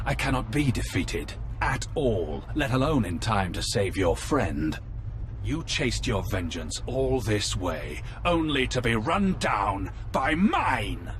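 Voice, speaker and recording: An actor playing a vengeful, rebuilt cyborg Sith lord in a video game, speaking in a heavy, menacing, theatrical way. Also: male voice, fairly low pitch, sing-song, fairly bright tone, full character voice. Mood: triumphant contempt. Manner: slow, theatrical, grandiose threats. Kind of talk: video game villain acting. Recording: clearly noisy game capture.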